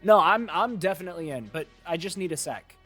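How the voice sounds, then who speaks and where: High-voiced, sweary young student played by an actor. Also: male voice, lively intonation, a little fast, a bit bright, soft background music. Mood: casual. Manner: casual, sweary banter. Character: young male student, foul-mouthed, eager geeky friend. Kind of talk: audio drama dialogue.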